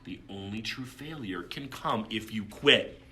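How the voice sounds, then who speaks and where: Male storytime reader, unhurried and very expressive, dramatic pauses, clear background noise. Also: on the low side. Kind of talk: expressive storybook read-aloud with casual asides.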